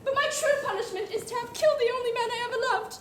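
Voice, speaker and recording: A woman's voice: extremely high pitch, very fast, over a noisy crowd. A young woman on stage playing a melodramatic society lady in a murder farce, speaking in an arch, declamatory way. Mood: grand confession. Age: young adult. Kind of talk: comedic student stage acting. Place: theatre stage. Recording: echoey stage, audience, faint noise.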